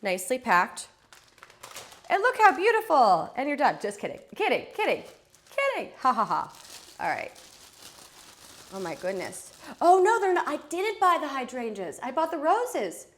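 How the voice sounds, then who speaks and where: A woman hosting a live shopping stream, chatting casually while unboxing, her voice nasal and stuffy from a head cold, joking and teasing herself as she goes. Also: normal speed. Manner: chatty, jokey, self-teasing, lively swings in pitch and loudness.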